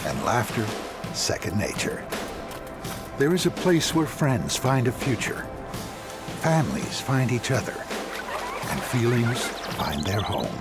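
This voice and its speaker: Male commercial narrator, poetic script, unhurried and melodic, loud music underneath. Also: mid-range voice. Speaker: advertisement narrator.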